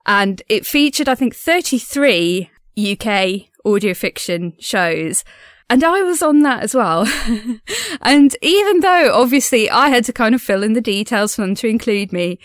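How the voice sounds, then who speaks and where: A woman's voice: on the high side, expressive, a little slow, no echo, clean studio sound. A woman recording a casual personal audio diary as a podcast host, speaking in an earnest, enthusiastic and effusive way.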